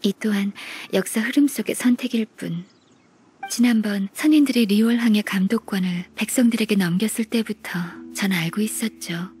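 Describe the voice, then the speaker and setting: An actress playing a gentle, formal, melancholy half-immortal young secretary in a game, speaking softly with polite, measured resignation. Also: female voice, medium pitch, close to an everyday voice. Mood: resigned, wistful. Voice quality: very breathy, soft. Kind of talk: Korean game voice acting. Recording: music behind the voice.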